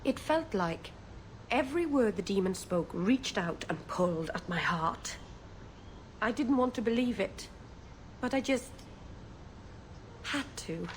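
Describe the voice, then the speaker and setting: A woman voicing an earnest, soft-hearted young elven mage full of remorse in a video game. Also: medium pitch, lively intonation, unhurried, pauses often, slight background noise, natural voice. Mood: rueful, earnest. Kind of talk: video game character dialogue.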